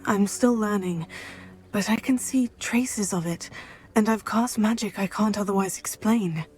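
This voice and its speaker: A woman playing a calm, polite, brave teenage student witch in a video game. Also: mid-range voice, unhurried, soft background music, natural voice. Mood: candid. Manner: composed, polite.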